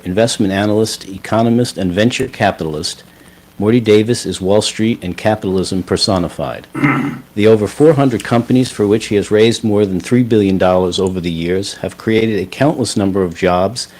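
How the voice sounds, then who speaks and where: A man reading a scripted show introduction in a measured announcer manner. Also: lowish voice, a little mellow, poor audio. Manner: smooth, measured announcer read.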